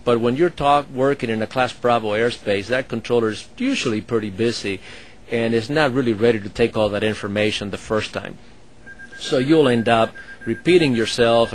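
A man who supervises air traffic controllers and flies, explaining the work in a halting way, with many fillers and restarts. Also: medium pitch, rough recording. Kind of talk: explaining his work to an interviewer.

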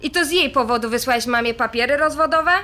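An actress playing an impulsive, defiant adult daughter in an audio drama, sarcastic and confrontational. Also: female voice, high voice, fast talker, pushed and bright. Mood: angry, reproachful.

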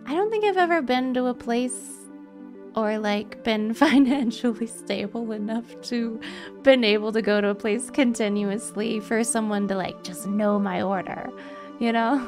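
A woman's voice: on the high side, normal speed, darkish tone. A young woman chatting casually and gigglily with viewers as she streams a game on a close microphone. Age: young adult. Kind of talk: casual livestream gameplay chat. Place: indoor room, streaming setup. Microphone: boom-arm microphone close up. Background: faint game music.

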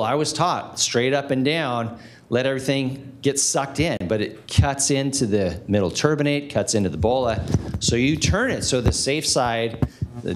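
A man's voice: medium pitch, noisy background. A man in his forties moderating a medical conference panel, speaking energetically into a handheld mic in a conference room. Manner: energetic, gestures while talking.

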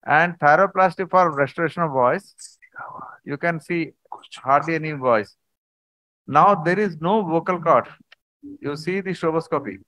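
A man, a surgeon, explaining surgical video clips in a halting conference talk over a video call. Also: on the high side, a bit bright, no echo, rough recording. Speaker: surgeon presenting cases.

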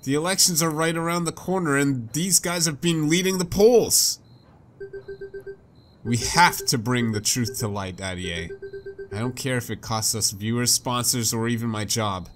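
A man's voice: mid-range voice, normal speed, a little hiss or hum, lightly acted. A man voicing a stubborn, principled young female reporter in a visual novel game, firm and insistent. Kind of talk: reading visual novel dialogue aloud. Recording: game music behind.

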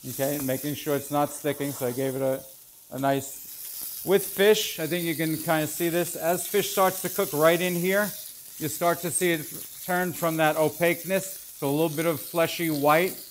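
A man's voice: a little high, moderate pace, slight background noise, poor audio. Chef explaining as he cooks. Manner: relaxed, explanatory, thinking aloud while working. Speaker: chef instructor. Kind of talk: cooking demonstration. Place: large professional teaching kitchen, indoors. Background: food sizzling in a pan.

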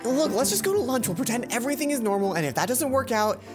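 A man's voice: very high voice, very animated, quick pace, over music, pitched above the performer's own voice. A man voicing an indignant, brash teenage schoolgirl from a visual novel, in a whiny, exasperated way.

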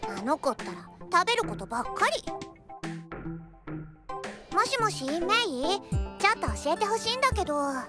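A woman's voice: very high voice, big pitch swings, moderate pace, pauses often, loud music behind the voice. A fussy girlish headmistress. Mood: fretful. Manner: doting yet threatening, fretful. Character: small girlish academy headmistress, fussy and stern. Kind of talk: anime voice acting.

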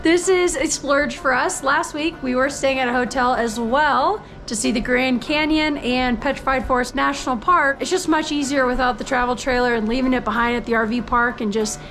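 A young woman talks in a fairly high voice. She is a travel vlogger, informal and full of enthusiasm, chatting into a handheld camera. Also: fairly even intonation, moderate pace, few pauses, fairly bright tone, faint music behind. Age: young adult. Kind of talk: casual travel vlog chat. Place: handheld vlog camera, mostly outdoors, also car and hotel room. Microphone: camera at arm's length.

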